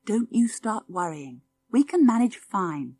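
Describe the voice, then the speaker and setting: A motherly farmer's wife in a children's storybook, acted by a woman narrator. She is kindly and bustling, soothing and coaxing everyone around her at a middle pitch. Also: sing-song, measured pace, warm tone. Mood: placating.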